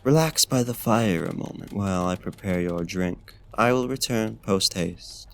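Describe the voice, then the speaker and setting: Guy doing a deep gravelly vampire gentleman, asmr roleplay, super polite n flirty, faintly menacing. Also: expressive, unhurried, slight background noise. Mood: attentive, hospitable. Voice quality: low, gravelly. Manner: formal, archaic, courteous and flirtatious phrasing. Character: courtly male vampire host, smooth, gently menacing and seductive. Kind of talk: ASMR roleplay, slow and intimate.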